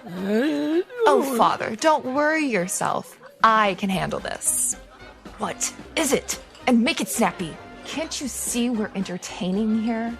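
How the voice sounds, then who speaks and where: Haughty society heiress. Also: female voice, fairly high pitch, big pitch swings, slow, vocal fry, fairly bright tone, faint music behind, exaggerated voice. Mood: curt, impatient. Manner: snobbish, condescending, pompous. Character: haughty, spoiled, ruthless rich socialite crime heiress. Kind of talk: pulp radio drama acting.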